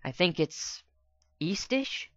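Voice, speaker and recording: A polite young mare in an audio story played by a woman, hesitant and unsure, with halting phrases. The voice sits a little low for a woman, recorded dry and slightly dull. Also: very animated, dry recording. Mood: tentative. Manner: hesitant, stammering. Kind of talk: dramatic reading of fan fiction.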